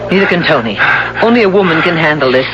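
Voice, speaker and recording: A woman's voice: deep voice, animated, fast talker, background noise. An actress playing a brave, defiant woman in a 1970s radio drama, speaking firmly and insistently. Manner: firm, pointed, insistent. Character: brave, defiant young woman facing a killer. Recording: dull old radio recording.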